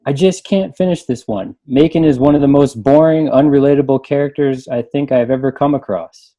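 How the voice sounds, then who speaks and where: Mellow-voiced man reading aloud. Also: mid-range voice, brisk. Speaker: book discussion panelist. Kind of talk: casual chat and reading reviews aloud.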